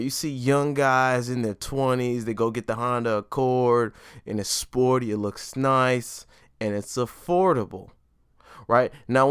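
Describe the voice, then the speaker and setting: A man's voice: mid-range voice, clear, resonant tone, no room sound, studio quality. A man hosting a solo podcast, talking casually and keeps checking in with "right?" and "you know what I mean?". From New York. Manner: emphatic, stresses key words. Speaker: podcast host. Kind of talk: solo motivational podcast talk.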